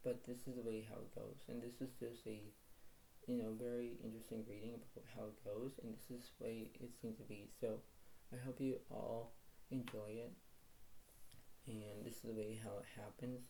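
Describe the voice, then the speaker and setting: A man with a low, quiet voice, rambling through a solo tarot reading and repeating filler phrases as he lists cards in quick bursts. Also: level tone, gravelly, slight background noise, poor audio. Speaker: tarot reader.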